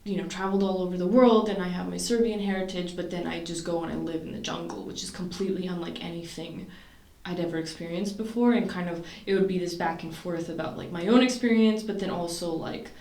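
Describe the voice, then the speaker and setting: A woman's voice: fairly low pitch, steady tone, fast talker, dark tone. A young woman from Canada talking casually to camera about her projects, chatty and rambling with many fillers and restarts. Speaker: vlogger. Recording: roomy sound, mic at a distance.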